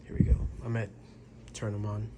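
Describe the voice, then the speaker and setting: A slightly hoarse man, half sick, casually reviewing a prop in a bedroom with frequent throat clearing and restarts. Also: on the low side, monotone, brisk, heavy background noise.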